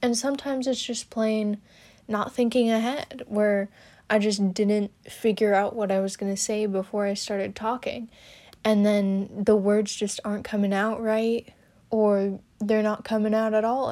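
This is a young woman talking through her personal experiences at a deliberate, unhurried pace. Her voice sits at an ordinary pitch, and her delivery is hesitant and halting, full of fillers and restarted sentences. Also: flat delivery, dark tone. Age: young adult. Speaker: student podcaster. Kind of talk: personal talk about her stutter.

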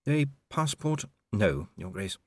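A man's voice: lowish voice, big pitch swings, takes it slowly, breathy edge, dry recording, clean studio sound, exaggerated voice. A man voicing a fussy, anxious diplomatic clerk in an audiobook, hesitant, careful and deferential. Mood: hesitant, cautioning.

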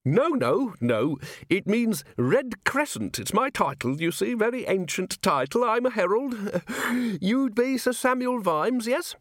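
A man's voice: sits high, sing-song, a little slow, a bit bright, dry recording, clean studio sound, pitched above the performer's own voice, heavily acted. A man voicing a fussy, eager little old herald in an audiobook, polite and scholarly.

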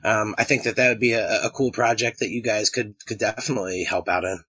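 A man's voice: fairly low pitch, fairly even intonation, fast, sharp tone, husky. A man in his thirties co-hosting a podcast, chatting casually with a wisecracking manner. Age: 30s. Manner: joking, wisecracking. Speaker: podcast co-host. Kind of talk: casual chat.

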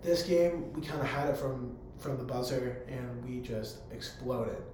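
A young man with a hoarse voice talks eagerly and loosely, piling up fillers and restarts. His voice sits at an average pitch and bounces around a strongly echoing room. A faint hum runs underneath. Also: a little slow. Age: young adult. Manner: eager, rambling, filler-heavy. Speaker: college public address announcer. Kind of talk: casual interview answers. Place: room on a college campus.

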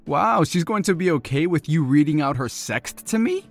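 A man's voice: fairly high pitch, lively intonation, normal speed, faint music behind. An actor playing a cocky, flippant young womanizer in an audio drama, teasing and sarcastic. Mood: mocking. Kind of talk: naturalistic audio drama acting.

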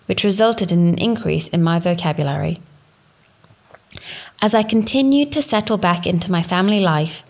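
Woman in her thirties, lowish voice, measured scripted delivery, formal teaching narration. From England. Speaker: education student presenting.

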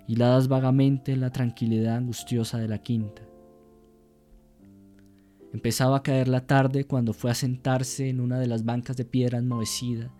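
A man narrating a literary radio drama in a measured, solemn, descriptive manner. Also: medium pitch, dark tone.

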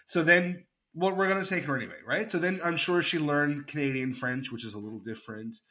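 A man's voice: fairly high pitch, fairly quick, bright, forceful tone. A man hosting a casual podcast chat, telling a story with lots of fillers and enthusiasm. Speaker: podcast host.

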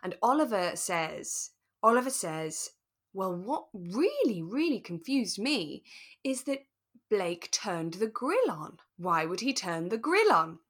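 A woman co-hosting a podcast, chatting in a relaxed, casual way and telling things with animation. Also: medium pitch, takes it slowly. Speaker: podcast co-host. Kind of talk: relaxed casual chat about a radio drama.

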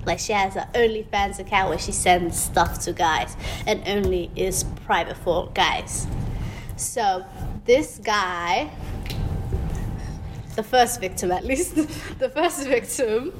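A woman's voice: mid-range voice, slow. A woman co-hosting a podcast in casual, slangy chat, laughing often. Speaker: podcast co-host. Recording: noisy background.

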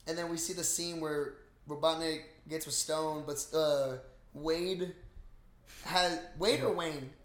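A young man co-hosting a podcast, recapping a movie in casual, slangy, excitable talk with plenty of swearing. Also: on the high side, slow pace. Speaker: podcast host. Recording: roomy, echoing room sound.

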